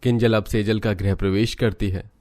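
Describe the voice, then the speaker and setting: Male narrator, lower-pitched voice, scripted storytelling, family tale. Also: steady tone, moderate pace, darkish tone, faint music behind.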